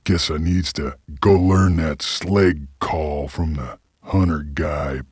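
A man voicing a gruff, weary, menacing creature bounty hunter from a video game, with a husky, gravelly Western American drawl and terse, deadpan delivery. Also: extremely low pitch, slow, no room sound, studio quality, exaggerated voice. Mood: gruff, wary. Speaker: game protagonist. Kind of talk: video game character lines.

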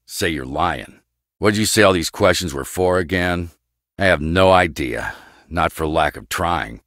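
An actor playing a gruff, guarded, brooding scientist in a video game, with a terse, clipped, deadpan delivery. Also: male voice, sits low, measured pace, no echo, studio quality. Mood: curt, guarded.

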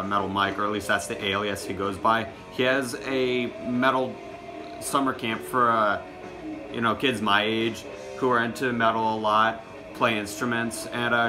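A young man in his teens or early twenties doing a casual show-and-tell to camera, recorded at arm's length in a small room at home. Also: mid-range voice. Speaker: music collection vlogger.

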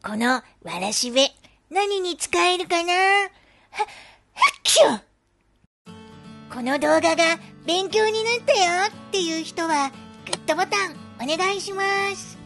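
A cheeky little boy from an animated storybook tale. The pitch is extremely high and childlike. He is an excitable, sulky kid, with faint music behind him. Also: a little slow, heavily acted. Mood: bright, curious.

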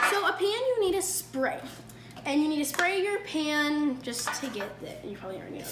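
A young woman hosting a casual home cooking video, chatty and upbeat, with lots of fillers and self-corrections, recorded on a phone in a kitchen. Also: sits high. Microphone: phone camera across the counter. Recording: echoey kitchen with noise.

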